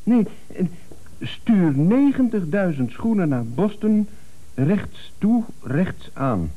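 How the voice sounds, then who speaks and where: High-voiced, fussy office man. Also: sing-song, takes it slowly, narrow, tinny audio, exaggerated voice. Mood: puzzled, suspicious. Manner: hesitant, pedantic, argumentative. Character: earnest, stubborn middle-management male office employee. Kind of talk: 1970s Dutch radio comedy acting.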